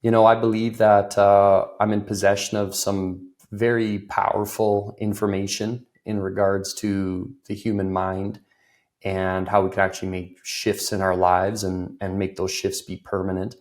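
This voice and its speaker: Man, low-ish voice, a little rough from a cold; loose and talkative interviewee. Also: level tone, unhurried, a little mellow. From Ireland. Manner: loose, filler-heavy, laughs easily. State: recovering from a cold, voice a bit off. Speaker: guest, business consultant. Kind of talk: conversational interview.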